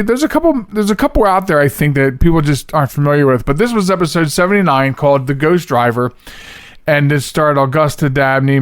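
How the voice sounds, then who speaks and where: Male podcast host, smooth higher-set voice, casual, meandering delivery, very dry sound. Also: dry recording. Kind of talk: casual solo show introduction.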